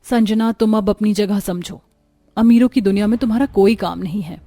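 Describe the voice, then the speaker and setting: A woman's voice: mid-range voice, moderate pace, dark tone, lightly acted. A woman voicing a haughty, boastful rich young wife in a moral cartoon story. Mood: scornful, boastful. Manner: scornful, boastful. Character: haughty rich young wife who taunts her poor friend.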